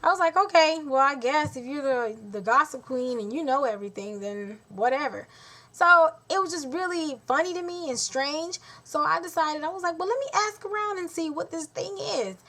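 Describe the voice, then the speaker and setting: A woman's voice: sits high, moderate pace, sharp tone. A woman in her twenties telling a story to camera in a blunt, exasperated way, acting out what others said to her. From Haitian heritage. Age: 20s. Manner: blunt, exasperated, talks with her hands in quotes. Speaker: vlogger. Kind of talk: casual storytelling vlog.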